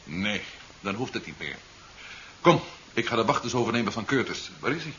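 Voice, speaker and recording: A man's voice: fairly low pitch, sing-song, fairly quick, a bit bright. An actor playing an urgent, suspicious man warning of alien invaders in a 1970s science fiction radio drama, dramatic and emphatic. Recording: dull, faintly noisy 1970s radio recording.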